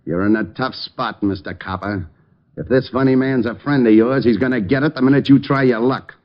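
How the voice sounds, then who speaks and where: An actor playing a sneering, threatening American gangster who talks in rough street slang, in old radio crime drama style. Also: male voice, medium pitch, brisk, natural voice. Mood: taunting. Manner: tough street slang, bad grammar, threatening. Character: menacing, sneering male gangster with a gun. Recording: muffled old radio recording.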